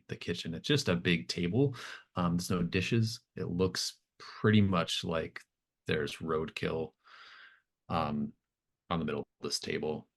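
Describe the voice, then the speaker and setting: A man with a low voice runs a tabletop horror roleplaying game. He improvises scene narration in halting, conversational pieces, thinking aloud as he goes. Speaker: game master running a tabletop horror RPG.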